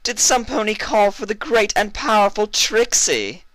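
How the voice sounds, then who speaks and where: A vain, pompous magician mare from a cartoon fan story, played by a man. The voice is high, loud and theatrical, swooping with haughty self-importance. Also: animated, measured pace, dry recording, poor audio. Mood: smug, scoffing. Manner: obnoxious, pompous, speaks of self in third person.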